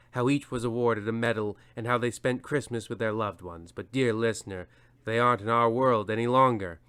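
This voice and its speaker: Smooth-voiced man narrating a radio play. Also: medium pitch, fairly bright tone. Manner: solemn, addresses the listener directly. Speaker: narrator and host.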